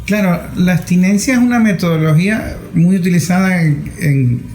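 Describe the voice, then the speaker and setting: Guy interviewer, middle aged, slightly high voice, lots of room echo. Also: moderate pace, darkish tone. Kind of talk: conversational interviewing. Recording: echoey, roomy sound.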